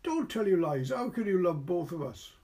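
A man's voice: high-pitched, sing-song, normal speed, mellow, voice pushed up. A man voicing a hurt, reproachful young woman.

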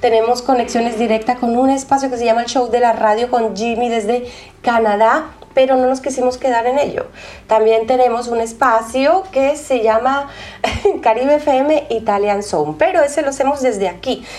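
A woman hosting a radio interview in a studio, energetic, laughing often, and talking in quick bursts. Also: on the high side. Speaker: radio host. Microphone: studio microphone.

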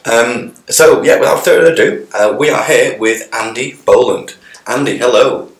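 A man hosting a podcast, croaky from a sore throat, jokey and enthusiastic with his guest. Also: medium pitch, normal speed. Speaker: podcast host. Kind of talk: casual podcast chat. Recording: roomy echo.

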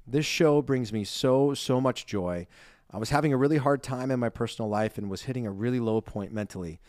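A young man co-hosting a podcast, reading listener reviews in a lively, self-deprecating chat and laughing easily. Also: mid-range voice, brisk, dry recording, clean studio sound. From Ireland. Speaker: podcast co-host.

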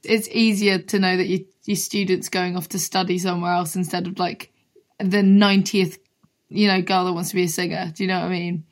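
Husky-voiced young woman, chatty and casual. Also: on the low side, flat delivery, a little fast. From London. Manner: chatty, peppered with "like". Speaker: singer-songwriter guest. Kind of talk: casual interview chat.

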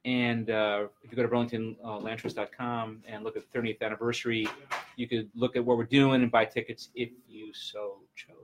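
A man of sixty-one talking casually as an interview guest, halting, often repeating words and trailing off. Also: mid-range voice, little pitch movement, slight background noise, low-quality recording. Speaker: guest, land trust representative and gym client. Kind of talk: casual unscripted interview chat.

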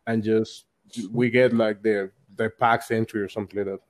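A man's voice: lowish voice, little pitch movement, moderate pace. Young man, loose rambling talk. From Colombia. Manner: loose, repetitive phrasing with many likes and restarts. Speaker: guest, card game store player. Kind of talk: casual interview over call.

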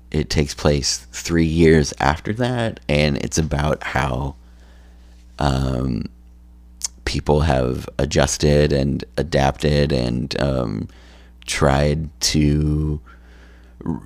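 Male podcast host, tired and phlegmy, gravelly fry, unhurried loose delivery. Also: darkish tone, no echo. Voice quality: gravelly, low voice. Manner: slow, rambling with many ums and restarts. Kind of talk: solo podcast chat.

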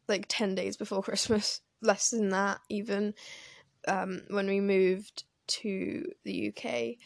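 A woman who grew up in France speaks slowly and unhurriedly into her phone, her voice sitting at a middle pitch. She rambles a little, pausing and reaching for her words as she goes, and the sound is dry and close with no room around it. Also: vocal fry, no echo. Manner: hesitant, rambling, many pauses and fillers. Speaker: vlogger. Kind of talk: casual personal talk to camera.

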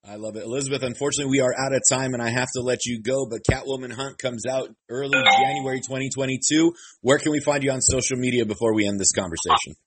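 A man's voice: mid-range voice, fast, hardly any pauses, fairly bright tone, slight background noise, rough recording. A male interviewer asking long, rambling questions full of fillers. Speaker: entertainment interviewer. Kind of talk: press interview.

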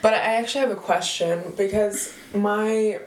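A woman's voice: medium pitch, fairly even intonation, normal speed. A young woman with Dutch and American roots who co-hosts a podcast, chatting casually and asking her partner questions. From Netherlands and USA. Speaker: podcast co-host. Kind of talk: casual chat. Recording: echoey, off-mic.

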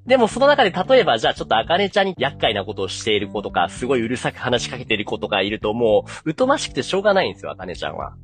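A man hosting a casual podcast, explaining a story in an animated way and drawing out key phrases for suspense. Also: medium pitch, very fast, hardly any pauses, faint music behind. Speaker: podcast host explaining an anime.

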